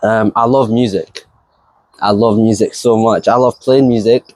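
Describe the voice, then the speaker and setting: A man's voice: lowish voice, steady tone, normal speed, darkish tone, no echo. Earnest young man. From Nigeria. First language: Yoruba. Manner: eager, earnest, laughs easily, repeats phrases. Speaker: new church digital creative, interviewee. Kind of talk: casual interview answers.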